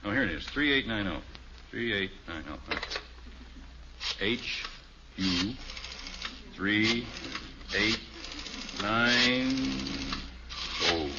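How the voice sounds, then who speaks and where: An actor playing a wry suburban father in an old-time radio family sitcom, excitable and easily exasperated. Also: fairly low pitch, drawn-out words, long pauses. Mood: flustered. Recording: muffled old radio recording, faint hiss.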